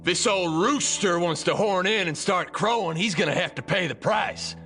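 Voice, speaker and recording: An actor playing a burly, hot-tempered frontier bully with a defiant American Western drawl in a dramatized audiobook. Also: male voice, high-pitched, normal speed, bright, forceful tone, soft background music. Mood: menacing.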